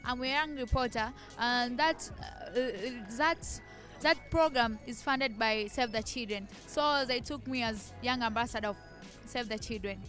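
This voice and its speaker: A woman's voice: a little high, measured pace, fairly bright tone, soft background music. A seventeen-year-old girl from Tanzania, a young reporter, speaking hesitantly. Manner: hesitant, simple phrasing.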